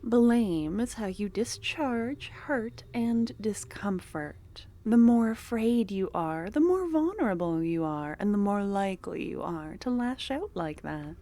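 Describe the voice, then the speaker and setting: A woman voicing an obsessive, possessive young cultist in an ASMR fantasy roleplay, speaking in a soft, breathy half-whisper that coaxes and soothes. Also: mid-range voice, expressive, takes it slowly, hardly any pauses. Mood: soothing, possessive.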